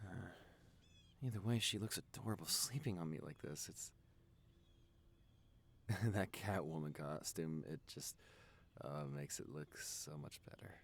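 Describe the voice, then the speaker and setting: A man's voice: deep voice, a little slow, vocal fry, pauses often, slight background noise. A man playing a doting, teasing young boyfriend in an intimate audio roleplay, rambling affectionately and stammering a little. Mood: sleepy, fond, then tender, hushed.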